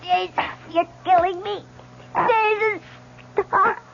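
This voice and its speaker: An actress playing a willful stage actress who grows frightened, in an old radio drama. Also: female voice, very high-pitched, fairly even intonation, slow pace, creaky, very noisy, full character voice. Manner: headstrong, rising to terror. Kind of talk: 1970s radio drama acting. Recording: dull old radio broadcast.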